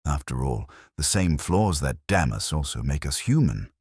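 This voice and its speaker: A man with an extremely low voice narrates detective fiction in the first person. His delivery is measured and reflective, with a literary turn and lively movement in pitch. The sound is dry, with hardly any room around it. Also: slightly rough, no echo.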